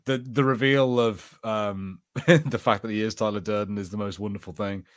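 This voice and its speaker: Low-pitched man in casual podcast banter. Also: fairly even intonation, moderate pace, clear, resonant tone, no echo, studio quality. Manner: informal, jokey. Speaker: podcast co-host. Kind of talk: casual chat, episode review banter.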